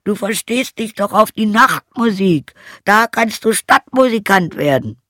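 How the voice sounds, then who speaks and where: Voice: mid-range voice, expressive, fairly bright tone, dry recording, studio quality, pitched above the performer's own voice. A storyteller voicing an eager, encouraging old male donkey in an emphatic storybook manner. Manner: exclaiming, drawn-out, emphatic storybook delivery.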